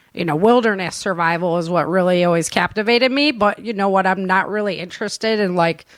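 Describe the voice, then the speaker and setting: A woman's voice: medium pitch, fairly bright tone, no echo, studio quality. A woman hosting a casual live chat show, talking informally with plenty of everyday slang. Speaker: show host and producer.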